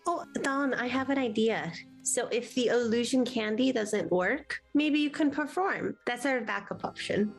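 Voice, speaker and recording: A woman's voice: fairly high pitch, measured pace, creaky. A woman playing a tabletop role-playing game, chatting casually and stating what her character does. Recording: music behind the voice. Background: music.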